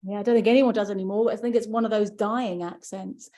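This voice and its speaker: A woman's voice: mid-range voice, steady tone, brisk, mellow, dull audio. A woman audiobook narrator talking casually on a podcast, chatty, with frequent restarts and fillers.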